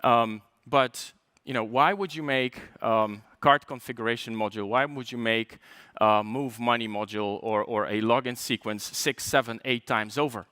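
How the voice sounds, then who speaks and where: A man's voice: mid-range voice, fairly even intonation, moderate pace, pushed and bright, clean tone, dry recording, clean studio sound. Chatty Polish man giving a tech talk. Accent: light Polish. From Poland. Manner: chatty, joking asides, frequent fillers and restarts. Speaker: conference speaker.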